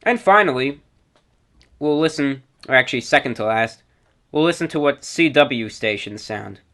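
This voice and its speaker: A man's voice: mid-range voice, lively intonation, normal speed, fairly bright tone. Male radio show host explaining things. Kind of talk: informal explanatory presentation.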